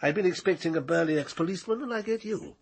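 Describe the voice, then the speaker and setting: A blunt male scientist in a British radio drama. His voice is husky and gravelly, and his manner is dry and authoritative. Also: sits high, fast, full character voice. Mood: dry, appraising.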